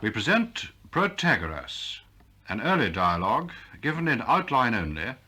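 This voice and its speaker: A man's voice: medium pitch, bright, forceful tone, slightly rough. A man with a British accent giving a formal scripted introduction as a 1960s radio announcer.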